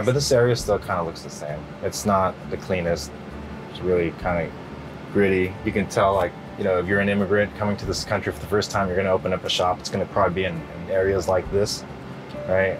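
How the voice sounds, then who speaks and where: A middle-aged man answering interview questions in a relaxed, rambling way, full of fillers and restarts. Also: low-pitched, low-quality recording. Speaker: interview guest. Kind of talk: casual interview.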